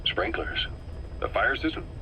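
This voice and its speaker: An actor playing a grim, measured military intelligence operative giving a wary briefing in a video game. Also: male voice, pushed and bright. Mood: probing. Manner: measured, serious, expository. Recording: noisy cassette tape with vehicle rumble.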